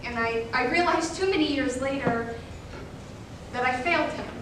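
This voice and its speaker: A woman playing a regretful male child psychologist dictating notes, hushed and halting, in a staged reading. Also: high voice, natural voice. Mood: quiet regret. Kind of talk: staged readers theater. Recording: very echoey hall, distant mic, noisy.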